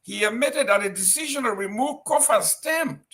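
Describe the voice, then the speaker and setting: A man's voice: sits high, animated, moderate pace, a bit bright. A man with a Liberian accent, reading political news and then commenting on it with indignant, emphatic rhetorical questions. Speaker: talk show commentator.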